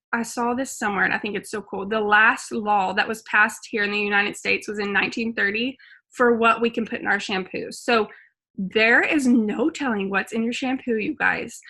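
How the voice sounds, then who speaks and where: A woman hosting a video call, making an enthusiastic, persuasive pitch with plenty of fillers. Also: sharp tone. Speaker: host.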